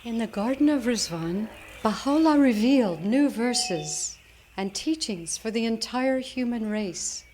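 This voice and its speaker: A woman giving a solemn dramatic reading with a measured, reverent delivery at a live program. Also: on the high side, animated, a little mellow, slight background noise. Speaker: reader. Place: live holy day program. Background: music.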